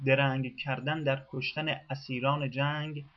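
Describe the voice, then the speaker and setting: A man reading a scripted radio documentary in a measured, formal way. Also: mid-range voice, little pitch movement, dull audio. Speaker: narrator.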